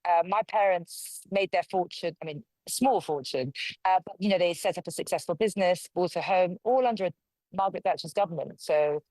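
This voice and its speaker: A woman's voice: fairly low pitch, brisk, a little mellow, dry recording, rough recording. A woman from London, a local councillor, giving forthright, conversational answers as an interview guest. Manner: forthright, conversational, speaks her mind.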